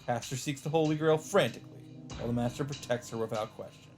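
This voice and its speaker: A man's voice: mid-range voice, fast talker, darkish tone. A man hosting a let's play, reading visual novel narration aloud in a dramatic storytelling manner. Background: game music.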